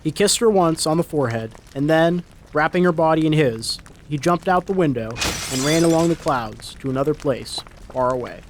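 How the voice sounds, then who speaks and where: A man's voice: a little high, lively intonation, normal speed, hardly any pauses, a little hiss or hum. A man reading a scripted story as a dramatic narrator. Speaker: narrator, reader. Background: story sound effects.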